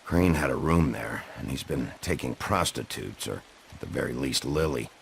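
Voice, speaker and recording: A deep, gravelly sheriff, speaking low and tersely in a game scene. His voice sits far down in pitch with a rough fry, over faint background hiss. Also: animated, normal speed, exaggerated voice. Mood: blunt. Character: gruff male sheriff, a werewolf in human form.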